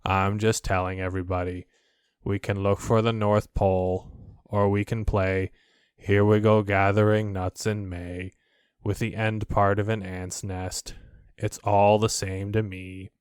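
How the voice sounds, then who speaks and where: A man's voice: on the low side, little pitch movement, clear, resonant tone, no room sound, studio quality, lightly acted. A man voicing a gloomy, put-upon donkey in a storybook, grumbling in a morose, resigned manner. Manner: slow, morose, resigned delivery. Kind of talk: storybook character voice.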